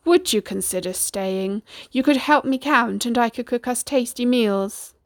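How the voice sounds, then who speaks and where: A woman voicing a huge, lonely male giant who is gruff but kindly, speaking slowly and heavily. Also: on the high side, no room sound, studio quality.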